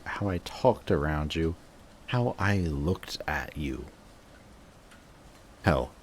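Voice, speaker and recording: A man acts out a bitter, guarded male villain in an audio roleplay monologue. His voice sits very low and moves very slowly, with intense, sardonic delivery and dramatic pauses. Clear background noise sits behind the voice. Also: exaggerated voice. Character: bitter adult male villain, guarded, secretly devoted to rival.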